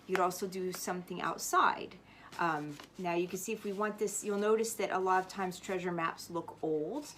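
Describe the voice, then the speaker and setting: Woman storyteller and teacher over clear background noise. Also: lowish voice, normal speed, a bit bright. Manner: instructive, step-by-step explaining. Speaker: teacher reading a storybook and showing a craft. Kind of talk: read-aloud storytelling and casual how-to demonstration.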